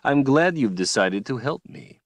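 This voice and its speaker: A man's voice: mid-range voice, animated, unhurried, no echo, clean studio sound, voice pushed up, natural voice. A man voicing a cruel male tyrant who speaks in a silky, taunting, menacing way. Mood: mocking.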